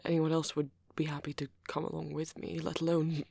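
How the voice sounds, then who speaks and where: A woman voicing a shy, awkward young vampire boyfriend in an ASMR roleplay, hesitant and rambling, with a soft, breathy delivery. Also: low-pitched, little pitch movement, no room sound, exaggerated voice. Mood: bashful, touched. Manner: hesitant, halting, rambling, nervous.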